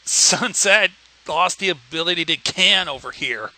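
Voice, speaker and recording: Bright, sharp-voiced man bantering casually. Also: high-pitched, expressive, unhurried, poor audio. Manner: wry, teasing interjections. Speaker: co-host commenting on the reading.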